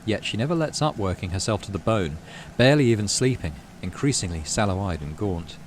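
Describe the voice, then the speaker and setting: A man with a deep voice reads a game's story aloud in a measured, dramatic way. His pitch moves around a lot, and he slips into casual commentary as a host. There is clear noise behind him. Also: animated. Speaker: gaming channel host. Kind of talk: dramatic read-aloud narration of a game story with casual asides. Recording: clearly audible background noise.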